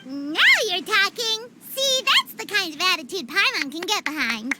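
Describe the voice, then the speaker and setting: A woman voicing a tiny, cheeky, bossy fairy companion in a video game, with a squeaky childlike voice and an emphatic delivery. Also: expressive, moderate pace, very noisy. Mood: approving. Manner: emphatic, refers to self in third person. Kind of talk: animated video game acting. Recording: loud background music.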